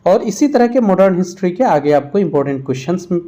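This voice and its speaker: Higher-pitched, mellow-voiced man teaching a quiz lesson. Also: expressive, fast. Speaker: exam-prep history teacher. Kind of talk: quiz-style lesson narration.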